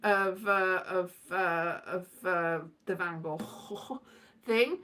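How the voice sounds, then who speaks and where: A woman's voice: on the low side, very slow, bright, forceful tone. A woman giving a rambling, casual comic monologue with a theatrical, wry, exasperated manner, slipping into sing-song and mimicked exchanges. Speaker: solo performer of a radio play monologue.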